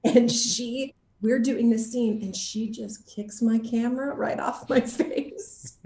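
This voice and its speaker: A woman actor chatting casually in an interview and telling anecdotes in an animated, laughter-prone way. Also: on the high side, takes it slowly, roomy sound.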